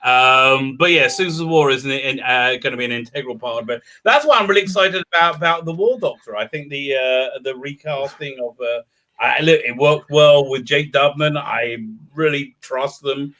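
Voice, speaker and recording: Bright, enthusiastic man on a podcast. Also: medium pitch, moderate pace. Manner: enthusiastic, emphatic. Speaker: podcast panelist. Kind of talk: casual fan discussion.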